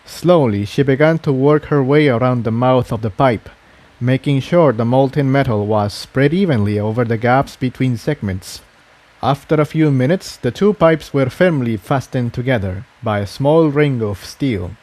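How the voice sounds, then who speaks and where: A man's voice: medium pitch, normal speed, darkish tone. A man reading a scripted adventure story aloud as narrator. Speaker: fan fiction narrator.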